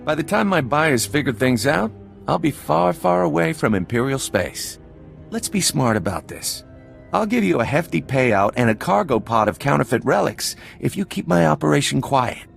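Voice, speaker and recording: A man playing a sly, greedy smuggler and forger in a video game, boastful and scheming, with a creaky voice. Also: fairly high pitch, very animated, moderate pace, faint music behind, exaggerated voice. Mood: slick bargaining. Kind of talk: video game character acting.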